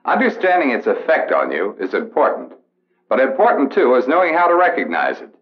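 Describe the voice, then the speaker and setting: An actor playing a serious Air Force officer instructor with an American accent, lecturing in a measured, formal way on an old training film. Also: male voice, medium pitch, wildly swooping pitch. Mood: serious, instructive. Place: indoor briefing room film set. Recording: dull vintage film soundtrack.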